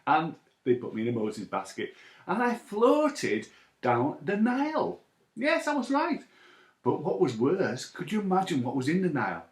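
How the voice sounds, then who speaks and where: A man chatting playfully and excitably to children as a story time presenter, asking them questions, in an echoey room. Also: fairly high pitch, normal speed. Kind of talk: playful chat addressed to children.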